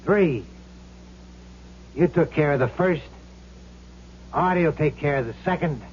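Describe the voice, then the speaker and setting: An actor playing a brash, vengeful ghost of a gangster in an old radio drama, bossy and sneering, on a muffled, noisy recording. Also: male voice, fairly high pitch, unhurried, a little mellow, close to an everyday voice. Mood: bossy, cocky. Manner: brash, bossy, sneering tough-guy slang. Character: cocky vengeful ghost gangster possessing another man's body.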